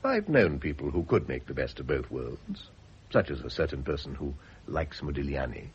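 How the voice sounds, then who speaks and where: Very deep-voiced, urbane, teasing gentleman. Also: big pitch swings, normal speed. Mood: wry, teasing. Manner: flippant, pompous, teasing. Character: urbane, teasing wealthy gentleman art lover, a man. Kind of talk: radio drama acting. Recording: noisy, muffled vintage radio recording.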